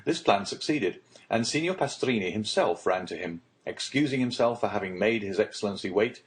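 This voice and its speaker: A man with a fairly low voice narrates a classic novel. His delivery is formal and measured. It is scripted literary storytelling for an audiobook. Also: expressive.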